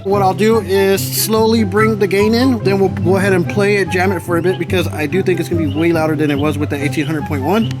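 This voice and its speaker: Male car audio vlogger, high-pitched, slangy and hyped, casual commentary with music clearly behind him. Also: fairly even intonation, fast talker, few pauses, poor audio. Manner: informal, slangy, hyped-up delivery. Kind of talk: casual excited vlog commentary.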